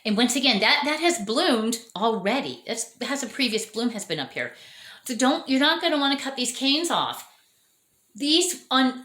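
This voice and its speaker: A chatty, enthusiastic woman talks through her orchids with a lively, swooping voice, often correcting herself as she goes. Her voice is fraying from overuse. She's recorded indoors in an echoey room at home. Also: medium pitch. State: losing her voice. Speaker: orchid grower showing her plants. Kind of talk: casual show-and-tell vlog.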